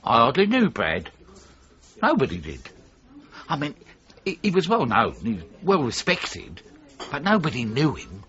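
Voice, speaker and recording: An actor in a radio drama playing a surly, wary getaway driver who talks in a blunt, gruff, defensive way. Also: male voice, medium pitch, wildly swooping pitch, unhurried, pauses often, a bit bright, heavily acted. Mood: grudging, evasive. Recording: dull, slightly muffled 1980s radio broadcast.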